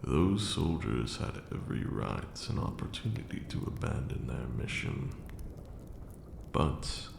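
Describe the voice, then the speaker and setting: Ancient vampire master male actor: unhurried, courtly, gravel-throated ASMR delivery, stern but caring, in a roomy, noisy recording. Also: expressive, heavily acted. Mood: solemn, consoling. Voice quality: gravelly, very deep. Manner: formal, archaic, measured phrasing. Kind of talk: ASMR roleplay, slow intimate monologue. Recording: roomy echo with audible background noise.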